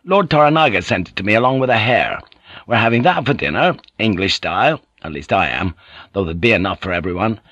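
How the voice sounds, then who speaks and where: Blunt opinionated european sailor guy, done by an audiobook reader, lively pitch, dull tape sound. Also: fairly quick, no echo. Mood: matter-of-fact. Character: blunt, irritable European seafarer living in Japan.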